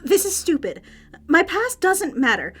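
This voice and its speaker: Audio drama commentator played by a woman: high-pitched, cheeky, defensive, dodges with flippancy, music bed. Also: big pitch swings, unhurried, fairly bright tone, exaggerated voice. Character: cheeky, evasive female announcer of underground fights. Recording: music under the voice.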